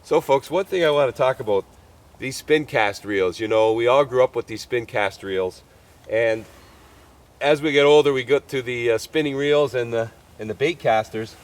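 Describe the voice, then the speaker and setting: A man's voice: mid-range voice, moderate pace. A man in his fifties hosts an outdoor fishing show from a creek bank, speaking to the camera in a loose, folksy way. His voice is a little stuffy from a cold. He fills his talk with easy "uh"s and keeps calling his viewers "folks.". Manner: chatty and folksy, lots of "uh" and "folks".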